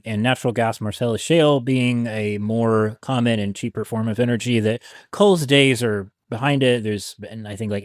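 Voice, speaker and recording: A man's voice: mid-range voice, dry recording, studio quality. A man guesting on a history podcast, talking in a thoughtful, hedging, conversational way.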